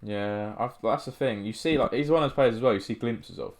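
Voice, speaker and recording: A young man co-hosting a casual football chat, laid-back, mostly giving quick agreeing replies. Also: medium pitch. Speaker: podcast co-host.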